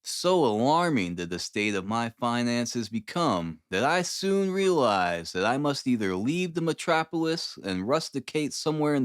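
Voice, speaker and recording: Expressive male audiobook narrator, close dry sound. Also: medium pitch, no room sound. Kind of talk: first-person classic novel narration.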